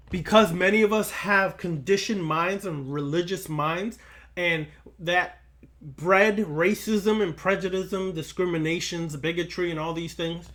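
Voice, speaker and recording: A man's voice: a little high, a bit bright. A man giving a spiritual lecture straight to his listeners, emphatic and repeating phrases for weight. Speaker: occult lecturer.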